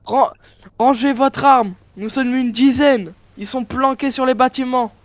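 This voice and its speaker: A man with a notably high-pitched voice plays a role in an online game. He improvises his lines in character as he goes. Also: fairly even intonation, measured pace, slight background noise. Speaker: role-play gamer.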